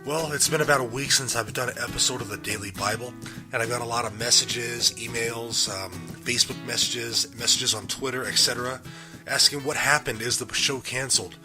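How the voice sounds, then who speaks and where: A man hosting a daily Bible podcast while getting over a cold, his voice still a little raspy, explaining a passage in earnest and putting questions to his listeners. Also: mid-range voice, steady tone, fairly quick, music in the background. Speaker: podcast host. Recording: live and unedited.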